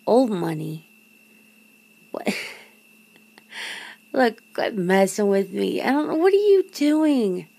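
A woman playing a nervous, teasing, disbelieving girlfriend in an intimate ASMR roleplay, talking haltingly and often dropping toward a whisper. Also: mid-range voice, animated, gravelly, warm tone, full character voice. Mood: hesitant, confused. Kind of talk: ASMR roleplay, one side of an intimate conversation.

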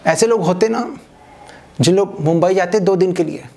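A young man doing a stand-up comedy set on a small stage, telling an animated story and acting out other people's voices. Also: sits high, moderate pace, gravelly. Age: young adult. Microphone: handheld mic. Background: audience laughter.

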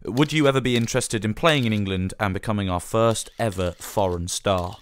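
Man as low-voiced 1930s sports reporter. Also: brisk, a little hiss or hum, lightly acted. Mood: inquisitive. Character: probing, formal 1930s English sports reporter. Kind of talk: 1930s radio interview acting.

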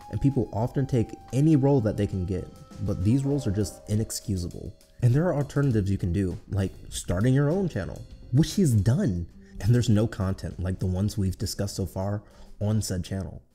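A man's voice: on the low side, lively intonation, a little fast, mellow. A man co-hosting a scripted video essay, speaking in an earnest, explanatory way. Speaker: co-host of a channel. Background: background music.

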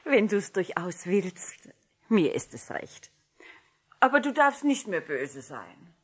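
A woman's voice: mid-range voice, very animated, slow pace, husky, exaggerated voice. A woman playing a delicate, pampered upper-class wife and mother with an affected, ladylike manner in a 1960s German children's radio play. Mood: placating.

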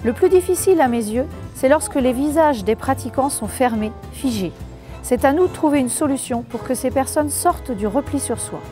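A female fitness instructor explaining her job in a promotional careers video, voice on the high side, music playing behind her. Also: animated, normal speed. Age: 40s. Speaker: senior fitness instructor being interviewed. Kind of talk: prepared testimonial, explanatory.

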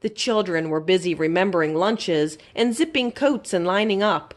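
A woman reading a children's story aloud as a library storyteller, in an expressive, simple storytelling manner. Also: on the low side, moderate pace.

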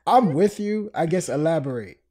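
Guy doing a casual podcast, mellow highish voice, sounds congested with a cold. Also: expressive, moderate pace. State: stuffy from a cold. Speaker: podcast host.

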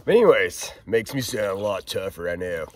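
A man in his thirties with a hoarse, froggy voice from a head cold, chatting casually as he fishes from a kayak on a creek, filmed by a pole-mounted camera. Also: lowish voice, fairly quick. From Arkansas. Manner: chatty and upbeat, excited while reeling in fish. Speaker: fishing vlogger. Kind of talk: casual outdoor vlog. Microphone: action camera on a pole mount.

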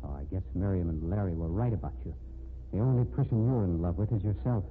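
An actor playing an embittered, lovesick murder suspect in a 1950s radio drama, intense and urgent. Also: male voice, deep voice, quick pace, natural voice. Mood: urgent pleading. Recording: tinny, noisy 1950s radio recording.

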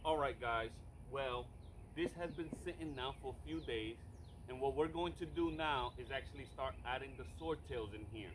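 A man in his thirties casually explaining a project to his viewers in a relaxed, chatty way, filmed by a camera set a little away from him in a backyard. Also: medium pitch, moderate pace, background noise. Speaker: fish breeder vlogger. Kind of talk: casual how-to vlog. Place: outdoors in a backyard. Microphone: far camera on a tripod.

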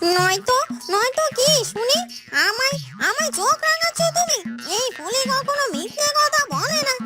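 A woman's voice: very high voice, sing-song. A woman playing a feisty, indignant young village housemaid in a cartoon, scolding and defiant. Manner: scolding, defiant, rapid retorts. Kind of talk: Bengali cartoon dialogue. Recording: voice over loud music.

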